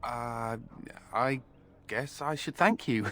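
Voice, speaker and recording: An actor playing a wry, flippant yet guarded fugitive mage in a video game, speaking conversationally. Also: male voice, medium pitch, takes it slowly, fairly bright tone. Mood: hesitant, surprised.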